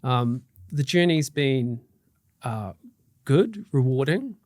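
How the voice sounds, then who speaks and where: A man's voice: medium pitch, expressive, slow, a little mellow, dry recording, studio quality. A middle-aged man with a New Zealand accent, a guest chatting casually on a podcast, upbeat and loose with fillers and restarts, his throat slightly tickly. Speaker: guest mortgage broker.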